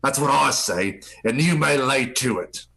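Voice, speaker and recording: A man's voice: mid-range voice, animated, unhurried, vocal fry, sharp tone, exaggerated voice, low-quality recording. Man playing a swaggering pirate ringleader with swooping delivery. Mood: commanding, menacing. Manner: taunting, theatrical, drawling commands. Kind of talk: live comedic table read.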